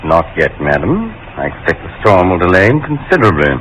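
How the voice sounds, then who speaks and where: An actor playing a cold, impassive manservant in a 1940s radio drama, formal, clipped and deadpan. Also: male voice, low voice, brisk. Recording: noisy old radio recording.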